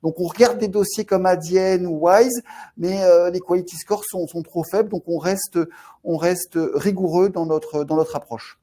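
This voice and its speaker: Halting man with a mellow, higher voice. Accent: Marseille. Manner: halting, many restarts and repeated words. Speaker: fund manager. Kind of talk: webinar market commentary.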